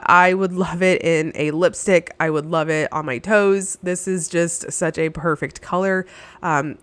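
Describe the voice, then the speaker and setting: A woman with a somewhat low voice, nasal and congested from being sick, narrates a nail polish review. She gushes warmly about each shade, dotting her talk with "uh" and "um". The recording is dry and close, with no room sound. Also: no room sound. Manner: enthusiastic, gushing, with frequent fillers. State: stuffy, under the weather. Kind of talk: voice-over product review.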